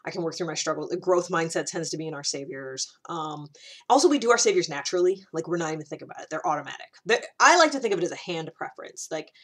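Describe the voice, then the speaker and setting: A woman's voice: low-pitched, animated, fast, a bit bright. A woman hosting a series as a casual solo explainer, talking informally and often breaking off into asides. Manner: informal, rambling and self-interrupting, with frequent asides. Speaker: host of a personality-typing series.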